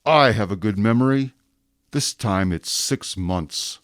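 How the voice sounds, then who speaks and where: An actor playing a stern, relentless police inspector in an audio drama, cold and commanding. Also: male voice, fairly low pitch, animated, takes it slowly, no echo, studio quality. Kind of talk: radio drama acting.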